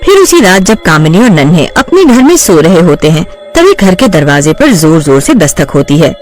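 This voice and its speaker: A woman narrating a horror cartoon story in a lively, dramatic scripted style. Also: lowish voice, moderate pace, soft background music.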